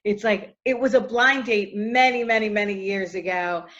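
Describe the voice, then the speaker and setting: A middle-aged actress answering interview questions in a casual, chatty way, full of fillers and often trailing off softly. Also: female voice, medium pitch, fairly even intonation, moderate pace, dull audio.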